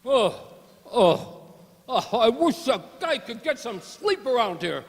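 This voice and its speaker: Man playing a high-pitched, slangy gangster boss. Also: sing-song, slow pace, full character voice. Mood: grumpy, commanding. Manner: old-time gangster slang, blustery. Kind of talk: amateur radio-play acting. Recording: reverberant hall with clear background noise.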